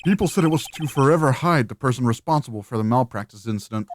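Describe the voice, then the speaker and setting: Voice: medium pitch, lively intonation, fast talker, a little hiss or hum, pitched below the performer's own voice, close to an everyday voice. An amateur dubber voicing a weary, sardonic young male defense lawyer in a video game, dry and hesitant. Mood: dry, measured.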